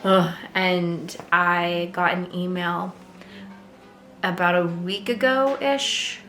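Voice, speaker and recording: Rambly woman vlogger, kinda hoarse still, lowish voice, echoey room with a little hiss. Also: fairly even intonation, drawn-out words, fairly bright tone, soft background music. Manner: chatty and rambling, with frequent fillers and light laughs. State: slightly hoarse, voice still recovering. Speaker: knitting vlogger. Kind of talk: casual chat to camera.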